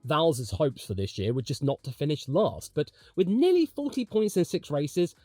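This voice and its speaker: A man's voice: fairly high pitch, sing-song, normal speed, dark tone, no echo, clean studio sound, faint music behind. A man hosting a motorsport video, giving opinionated commentary in a conversational, emphatic way. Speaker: host of a motorsport commentary channel.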